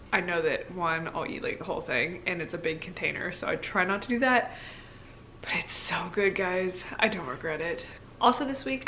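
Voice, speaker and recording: Young female vlogger, average pitch, candid and conversational, loose rambling delivery, slight background noise. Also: fairly bright tone, poor audio. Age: young adult. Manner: informal, rambling, candid, frequent "like". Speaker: pregnancy vlogger. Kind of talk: casual chat to viewers.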